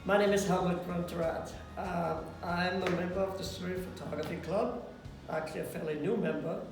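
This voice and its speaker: Older guy, flat even voice, roomy echo from far off. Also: high-pitched, fairly quick, gravelly, music in the background. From Austria. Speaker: guest photographer. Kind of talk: casual interview. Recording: echoey room, far from mic, background noise.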